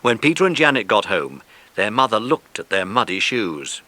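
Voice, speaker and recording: A man's voice: fairly low pitch, very animated, normal speed, pushed and bright. A man narrating a children's story from a script, as the narrator of an audiobook.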